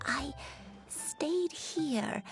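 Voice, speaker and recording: A woman playing a frail, gentle old granny in a video game, slow and apologetic as she searches her failing memory. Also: high voice, animated, heavily acted. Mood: wistful, weary. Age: older. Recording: music under the dry voice.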